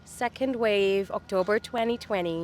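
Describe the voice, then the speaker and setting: A woman's voice: medium pitch, moderate pace, a little mellow, slight background noise. A woman in her thirties from Dubai, chatting upbeat as a street interview guest, with many fillers. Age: 30s. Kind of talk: casual chat. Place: outdoors on a city street.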